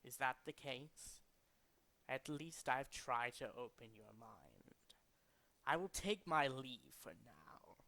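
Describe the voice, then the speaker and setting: A man's voice: medium pitch, sharp tone, heavily acted. A man voicing a condescending, cultured and fanatical villain leader from a video game, speaking in a formal, theatrical way. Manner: formal, pompous, theatrical, deliberate.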